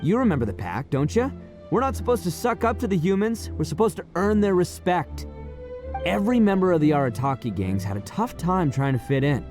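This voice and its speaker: A boisterous young gang leader from a video game, cocky and swaggering. His voice sits high and swings widely as he talks. Faint game music runs underneath. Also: very animated, fairly quick, darkish tone.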